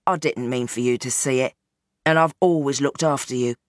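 A woman's voice: rumbling low, fairly even intonation, moderate pace, no room sound, clean studio sound, voice pushed down. Bernie, a woman voicing a weary old gangster. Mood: resigned. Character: aging male crime boss, weary, calm and unrepentant.

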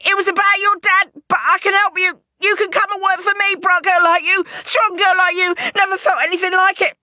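A woman's voice: extremely high pitch, little pitch movement, brisk, bright, forceful tone, dry recording, studio quality, voice pushed up, full character voice. A woman voicing a panicked male gang boss who gabbles and pleads, then turns cold. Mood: desperate pleading.

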